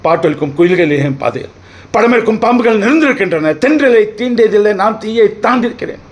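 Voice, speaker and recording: A man playing a wronged, defiant accused brother pleading his case in court, declaiming in theatrical old Tamil film oratory with dramatic pauses. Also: sits high, animated. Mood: proud, impassioned.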